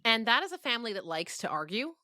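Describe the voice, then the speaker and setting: A woman's voice: a little high, big pitch swings, fast, sharp tone, dry recording, clean studio sound. A woman novelist being interviewed, telling stories conversationally in an emphatic way and often checking in with the listener. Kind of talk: animated conversational storytelling.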